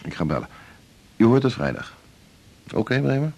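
Husky, animated senior male doctor. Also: medium pitch, normal speed. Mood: firm, placating. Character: senior male doctor, brisk, jovial, patronising boss. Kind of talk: naturalistic Dutch radio drama dialogue.

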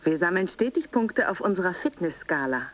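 An actress playing a soothing female motivational fitness coach, giving measured instructions in a radio drama. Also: fairly low pitch, sing-song, heavy background noise. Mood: soothing, instructive. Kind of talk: scripted guided relaxation and coaching slogans.

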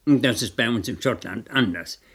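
An actor playing a kindly elderly legal adviser in a radio play, with a husky, creaky voice and a slow, hesitant, ruminating manner. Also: male voice, medium pitch, a bit bright. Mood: measured, informative.

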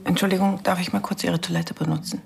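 Casting: courteous female police investigator, fast, slightly gravelly, realistic crime radio play, light background noise. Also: lowish voice, fairly even intonation, a little mellow. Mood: polite, inquiring. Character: polite, brisk police investigator asking questions. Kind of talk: naturalistic radio crime drama acting.

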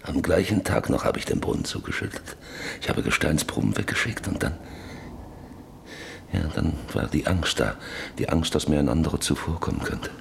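An actor in a German radio drama playing an old, lonely rancher who broods bitterly over his life in halting, repetitive phrases. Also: rumbling low, lively intonation, vocal fry, slight background noise, heavily acted. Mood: bitter, remembering. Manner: brooding, halting, repeating words.

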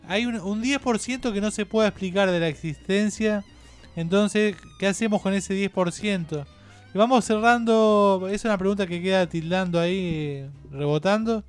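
Higher-pitched, smooth-voiced Rioplatense man interviewing. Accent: Rioplatense Spanish. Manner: halting, circles back and repeats himself. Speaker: radio interviewer. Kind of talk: informal on-air interview.

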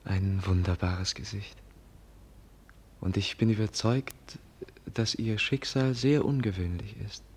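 A gentle young prince in vintage German radio drama. His voice is fairly low and husky. He speaks with naive sincerity, very courteous and somewhat hesitant. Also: takes it slowly. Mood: entranced, hushed. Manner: polite, earnest, hesitant.

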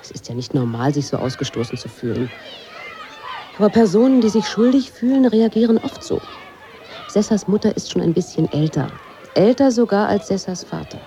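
An actress playing a self-assured, dismissive schoolteacher with a brusque, matter-of-fact way, in a radio drama. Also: female voice, medium pitch, sing-song, normal speed, dark tone, some chatter behind, slight background noise. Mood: confiding, matter-of-fact.

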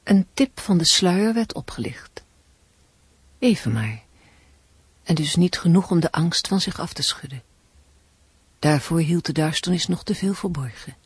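An actress in a radio play, playing a woman who questions and narrates a suspense story in a measured, hushed way. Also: on the low side, animated, husky, dry recording, full character voice. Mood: ominous, measured.

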